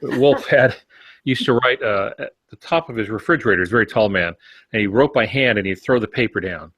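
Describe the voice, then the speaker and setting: An older American man co-hosting a podcast, giving measured, conversational explanations and writing advice with anecdotes. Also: on the low side, fairly bright tone, breathy edge, no room sound. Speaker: podcast co-host.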